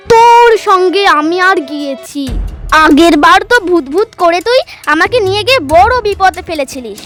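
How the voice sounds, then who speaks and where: একজন নারী বাচ্চাদের কার্টুন গল্পে এক সাবধানী, বিরক্ত স্কুলপড়ুয়া বান্ধবীর চরিত্রে কণ্ঠ দিচ্ছেন।. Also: female voice, very high-pitched, fairly bright tone, exaggerated voice. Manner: scolding, sensible, childlike. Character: cautious, exasperated schoolgirl, best friend. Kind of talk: children's cartoon horror story acting.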